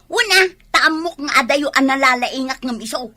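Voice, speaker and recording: Woman acting shrill, sulky Ilocano wife. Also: lively intonation, moderate pace, pushed and bright. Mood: sulky, indignant. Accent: Filipino, Ilocano. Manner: whining, grumbling. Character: sulky, indignant wife who craves to be PTA president. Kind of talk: Ilocano comedy radio drama acting.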